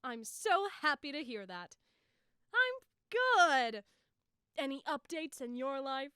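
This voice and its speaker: A woman's voice: sits high, sing-song, slow pace, sharp tone, no room sound, clean studio sound, heavily acted. A young woman playing a bossy, theatrical young god who hosts a talk show, easily exasperated. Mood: chirpy host. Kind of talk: amateur audio drama acting.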